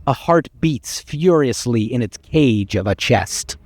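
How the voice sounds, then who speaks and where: A man's voice: mid-range voice, expressive, unhurried, darkish tone, no room sound. A man voicing a put-upon, wry narrator in a theatrical reading of a story game script. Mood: grim relish. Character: exasperated, put-upon male narrator relishing grisly description.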